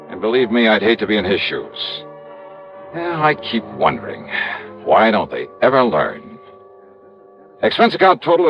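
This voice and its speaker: An actor playing a wry, tough insurance investigator who narrates his cases in 1950s radio detective style. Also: medium pitch. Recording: dull vintage radio recording.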